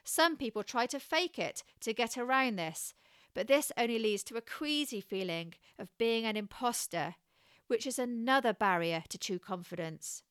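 Woman, West Country accent, communication coach, deliberate encouraging delivery, smooth resonant tone, dead-dry recording. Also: on the high side, lively intonation, a bit bright, no room sound. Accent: West Country English. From England. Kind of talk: scripted advice narration.

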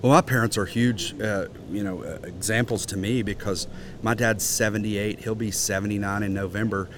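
A man's voice: fairly low pitch, steady tone, moderate pace, background noise. A man in his forties, a guest in a casual interview, talking earnestly with many restarts. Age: 40s. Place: outdoor conference grounds.

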